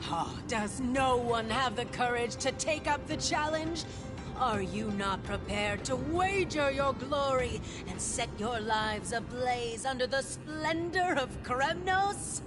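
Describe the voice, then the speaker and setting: High, grand, haughty female festival herald. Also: lively intonation, slow. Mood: mocking, scornful. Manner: declamatory, scornful. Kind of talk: grand theatrical game voice acting. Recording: loud game music underneath.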